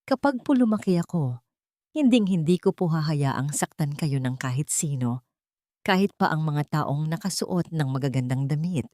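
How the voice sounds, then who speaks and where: A woman's voice: sits low, expressive, moderate pace, mellow, no room sound, studio quality. A woman storyteller voicing an earnest, protective little boy, serious in manner. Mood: defiant.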